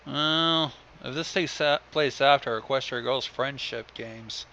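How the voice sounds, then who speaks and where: A male co-host chips in with blunt, sardonic banter and some swearing. His voice is mid-pitched and sharp, with lively intonation. The microphone sound is dull and lo-fi. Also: measured pace, a little hiss or hum. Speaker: co-host commenting on the reading. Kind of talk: casual banter.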